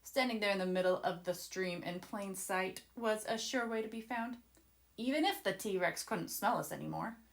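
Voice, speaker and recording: A woman voicing an excited, adventurous young boy who eagerly and dramatically narrates a children's storybook to his listeners. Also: medium pitch, moderate pace, natural voice. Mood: excited storytelling. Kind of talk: children's storybook read-aloud.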